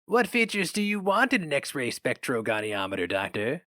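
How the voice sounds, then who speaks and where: A man's voice: medium pitch, big pitch swings, normal speed, bright, forceful tone, dry recording, studio quality, voice pushed up. A man voicing a pushy, grinning, boastful salesman in a dramatic story reading.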